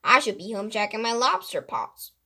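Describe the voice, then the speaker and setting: A woman's voice: a little high, big pitch swings, normal speed, bright, forceful tone, heavily acted. A woman voicing a reluctant, mildly complaining fisherman brother in a children's storybook. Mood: grumbling. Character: grumbling grown brother, reluctant lobster fisherman.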